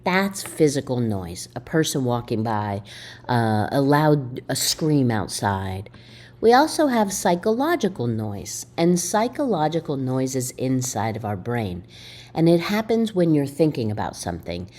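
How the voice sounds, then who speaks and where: A woman's voice: a bass voice, a little slow. A woman instructor giving a recorded video lecture in an emphatic way, asking her students questions as she explains. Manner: animated, emphatic, asks the listener questions. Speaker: college instructor.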